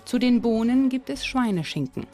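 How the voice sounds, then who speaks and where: A woman's voice: on the high side, animated, normal speed, warm tone, soft background music. A woman presenting and narrating a documentary in scripted sentences.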